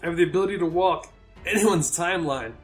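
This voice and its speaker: A man's voice: on the high side, fast, soft background music, voice pushed up, natural voice. A man voicing a wounded, desperate young fantasy hero in an audiobook, with strained, exclaiming delivery. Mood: pained, taunting. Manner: strained, exclaiming, breaking into pained laughter.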